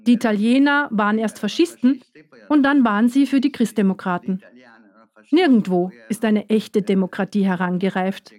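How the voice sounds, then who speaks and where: A woman giving a measured, earnest first-person voice-over for a documentary. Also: medium pitch, fairly even intonation, darkish tone. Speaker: voice-over speaker.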